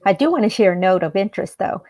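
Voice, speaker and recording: Earnest woman from El Salvador, measured. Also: medium pitch, expressive, a little mellow. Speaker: interview guest. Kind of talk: personal storytelling in an interview.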